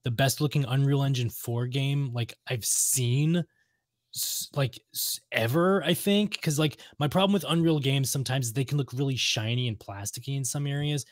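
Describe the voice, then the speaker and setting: A man with an average-pitched voice talks casually as a podcast co-host. He rambles enthusiastically and circles back to repeat his points. The sound is dry and close. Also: normal speed, dry recording. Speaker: podcast co-host discussing video games and lore. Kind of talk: casual chat.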